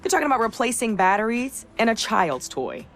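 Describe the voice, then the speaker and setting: A woman playing a stubborn, no-nonsense car mechanic in a game cutscene, brisk and opinionated. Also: on the high side, sing-song, vocal fry, fairly bright tone, faint music behind, full character voice. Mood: exasperated. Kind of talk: video game cutscene acting.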